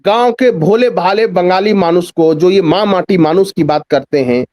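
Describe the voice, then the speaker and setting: High-pitched emphatic man, political commentator. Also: moderate pace, darkish tone, no room sound, studio quality. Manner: emphatic, repeats phrases, poses rhetorical questions. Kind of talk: extended political commentary in a news discussion.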